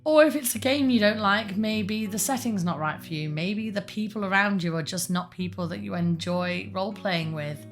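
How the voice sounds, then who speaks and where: A woman with a croaky voice, giving rambling, chatty advice to her viewers with self-mocking asides. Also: medium pitch, normal speed, few pauses, soft background music. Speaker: larp advice host. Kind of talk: casual advice monologue.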